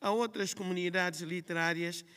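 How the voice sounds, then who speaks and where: A woman's voice: on the low side, fairly even intonation, a bit bright. Guinea-Bissau woman reading a speech in a hall. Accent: Guinea-Bissau Portuguese. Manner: measured, reflective, listing phrases in rhythm. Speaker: writer on a festival panel. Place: large hall at a literary festival. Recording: long hall reverb.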